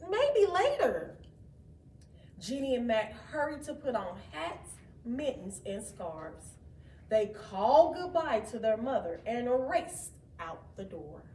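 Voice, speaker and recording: High-voiced, animated woman storyteller. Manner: expressive, deliberate. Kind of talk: children's read-aloud narration. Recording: echoey room, distant mic, faint noise.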